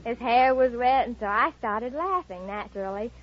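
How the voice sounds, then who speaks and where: A woman chatting casually as a contestant on a quiz show, with a husky voice. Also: on the high side, animated, moderate pace. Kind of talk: casual anecdote and short answers to a host. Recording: dull old radio recording, light audience sound.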